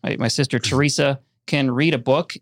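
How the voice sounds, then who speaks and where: A man's voice: medium pitch, fairly even intonation, moderate pace, fairly bright tone, no room sound, studio quality. A middle-aged man from Minnesota, a filmmaker guest telling wry, self-deprecating stories about his childhood in a casual interview.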